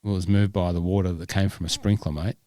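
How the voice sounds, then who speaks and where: A man's voice: deep voice, steady tone, quick pace, mellow. A man co-hosting a golf podcast, chatting in a rambling, self-deprecating way. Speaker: podcast co-host. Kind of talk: casual podcast chat.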